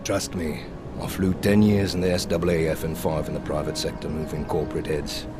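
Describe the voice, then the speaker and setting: An actor playing a blunt, cynical veteran pilot in a video game, sardonic, cocky and colloquial. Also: male voice, very deep voice, little pitch movement, fairly quick, music in the background. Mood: cocky, needling. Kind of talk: video game cutscene acting.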